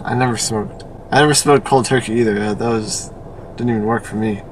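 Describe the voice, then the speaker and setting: A man's voice: on the low side, fairly even intonation, brisk. A sleepy man chatting casually for a vlog, rambling along with dry humor. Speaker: vlogger. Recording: noisy car ambience, distant mic.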